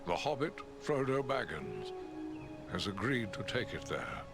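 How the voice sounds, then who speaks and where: A wise old wizard in a fantasy game. The voice is lowish and swings widely in pitch, commanding and measured, with theatrical weight over a clear music score. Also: male voice, sing-song. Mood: grave, explaining. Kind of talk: dramatic fantasy game acting.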